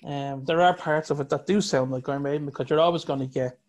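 A man, hosting a podcast, who gives measured opinions in a casual, agreeable way. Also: fairly even intonation, a little mellow. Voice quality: higher singing voice. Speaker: podcast host. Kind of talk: casual music review chat.

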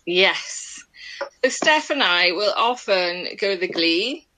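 A woman's voice: lowish voice, lively intonation, slow, sharp tone, low-quality recording. A woman co-hosting an online show, chatting casually with the others. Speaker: podcast co-host. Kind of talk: casual chat.

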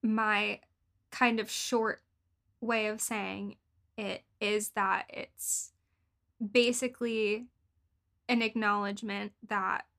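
A young woman with an American accent, co-hosting a casual podcast in informal, halting phrases sprinkled with "like". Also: mid-range voice, flat delivery, creaky, a bit bright. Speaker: podcast co-host. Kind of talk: casual political podcast chat.

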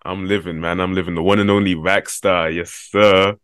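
A man's voice: deep voice, level tone, normal speed, fairly bright tone, clear, resonant tone, no room sound, clean studio sound. A man hosting a casual podcast interview, chatty and laid-back with plenty of slang. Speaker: podcast host.

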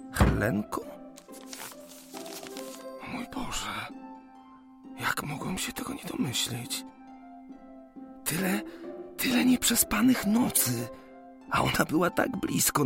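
A man's voice: high-pitched, sing-song, takes it slowly, gravelly, long pauses, exaggerated voice. Man acting a bashful lovestruck young geometer, music behind. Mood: stunned, regretful. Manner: hesitant, stammering when flustered. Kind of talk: radio drama acting.

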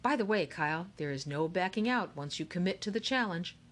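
A woman's voice: on the low side, animated, brisk, natural voice. Woman voicing a theatrical eccentric game-maker man. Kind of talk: audiobook character reading.

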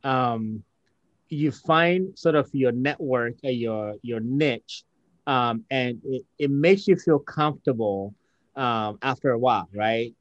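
A man who grew up outside the United States hosts a conversational interview, musing aloud and voicing his own inner thoughts. His voice is mid-pitched. It is recorded close and dry, with no room echo. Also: slow pace, lo-fi sound. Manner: reflective, many fillers, acts out inner thoughts.

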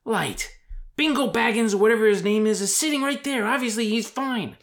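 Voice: mid-range voice, sing-song, moderate pace, fairly bright tone, pitched above the performer's own voice. A narrator playing a blunt, grumbling young man, the hero of a comic storybook fantasy. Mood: exasperated.

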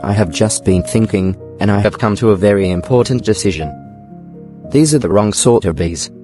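A man playing a naive, earnest toy bear who loves honey, pondering with gentle self-importance in a storybook dramatisation. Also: on the low side, normal speed, lightly acted. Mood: solemn. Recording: background music.

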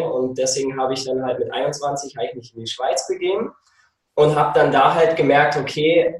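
A man's voice: a little high, recorded from a distance. German guy, 30s, enthusiastic rambler on a video call, slightly raised voice, echoey and off-mic. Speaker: podcast guest. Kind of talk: casual interview over video call. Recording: roomy echo, voice off-mic.

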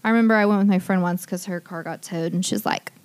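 A woman's voice: on the low side, quick pace, dry recording. A young woman, chatting casually on a podcast and telling stories full of filler words. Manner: chatty, animated storytelling with frequent filler likes. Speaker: podcast co-host. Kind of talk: casual chat.

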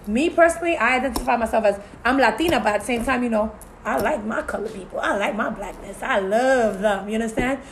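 A woman's voice: fairly high pitch, fast, a little hiss or hum. A Puerto Rican-American woman co-hosting a casual discussion, talkative, full of "you know" and "so it's like". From Puerto Rican family, US-raised. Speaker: podcast co-host.

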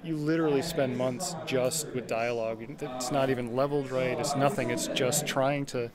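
Brief: casual male interviewee, mid-range voice, halting and repetitive, very noisy crowd around. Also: mid-range voice, steady tone. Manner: halting, repetitive phrasing with frequent "like". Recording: loud crowd chatter behind voice.

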